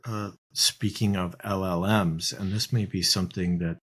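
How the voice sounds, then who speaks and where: A man hosting a podcast talks in a loose, conversational way, musing aloud and tagging his points with "right?". His voice is very deep. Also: fairly even intonation, moderate pace, fairly bright tone, husky. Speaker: podcast host. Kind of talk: podcast interview.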